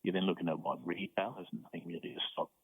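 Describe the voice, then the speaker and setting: Australian man, low husky voice, professor, measured, explanatory, repeats words when starting. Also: little pitch movement, dry recording, narrow, tinny audio. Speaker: university professor guest. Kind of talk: radio interview, explaining politics.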